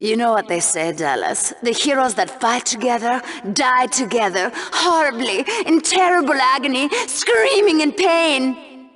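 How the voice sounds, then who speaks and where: Sadistic female video game commentator, high voice, lively swooping intonation, polished presenter delivery, menacing relish. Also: measured pace. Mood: morbid relish. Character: bloodthirsty, gleefully sadistic female combat TV co-anchor. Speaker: sports show co-host. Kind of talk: mock newscast commentary.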